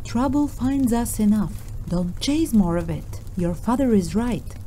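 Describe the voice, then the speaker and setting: A woman's voice: a little high, animated, measured pace, dark tone, a little hiss or hum. An actress playing an anxious, cautioning woman in a fantasy audio drama. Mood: pleading caution.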